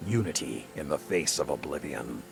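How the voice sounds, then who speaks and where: An actor playing a grave, stern veteran commander in a video game, with a gravelly voice and a solemn, commanding, deliberate delivery. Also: male voice, a bass voice, lively intonation, exaggerated voice. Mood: grave resolve. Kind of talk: dramatic video game dialogue. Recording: dramatic music behind the voice.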